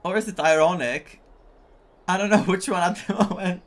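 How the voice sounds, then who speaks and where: A woman's voice: lowish voice, moderate pace. A woman giving casual playthrough commentary as a gaming streamer, with amused, wry asides. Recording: faint game music behind voice.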